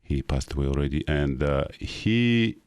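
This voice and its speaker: Middle-aged male guest, Russian accent, very deep voice, hesitant broken English. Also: expressive, darkish tone, clear, resonant tone, no room sound, studio quality. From North Ossetia, Russia. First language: Ossetian. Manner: halting English with frequent uh pauses. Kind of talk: casual interview.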